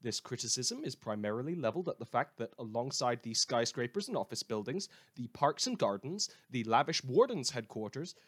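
A man's voice: medium pitch, very animated, a little fast, few pauses, a bit bright. A British man hosting a satirical news show, reading scripted items with a wry, sardonic delivery. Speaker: fictional news show host.

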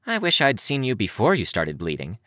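A cheery girl prodigy from a story, acted out by a man, bouncy and morbidly curious. The voice is forward and bright, swinging wildly up and down, in very dry sound. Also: on the low side, wildly swooping pitch, brisk, no room sound, voice pushed up. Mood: eager, clinical.

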